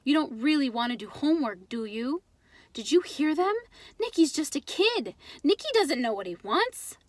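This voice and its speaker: A cheeky, nosy storybook boy, acted by a woman, talkative and emphatic. The voice is high and jumps about in pitch, recorded dry. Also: sing-song, normal speed, no echo. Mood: indignant. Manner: emphatic, questioning, teasing. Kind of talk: children's storybook read-aloud.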